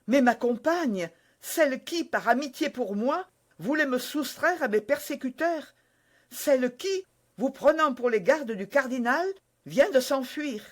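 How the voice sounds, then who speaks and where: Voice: high-pitched, lively intonation, unhurried, voice pushed up. A reader voicing a loving, frightened young woman who is dying of poison, speaking breathlessly and rising to cries. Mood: earnest. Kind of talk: audiobook character voice.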